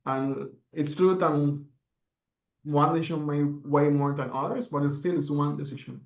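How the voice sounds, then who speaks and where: A man's voice: on the high side, steady tone, normal speed, echoey room, highs cut off. A young man from the Dominican Republic, a podcast guest telling his story in an earnest, reflective way with many fillers. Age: young adult. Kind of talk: interview answers.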